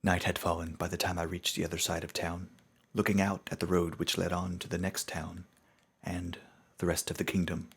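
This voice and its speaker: A man giving a dramatic, scripted narration of a story in a solemn, measured storytelling manner. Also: low-pitched, level tone, slightly rough.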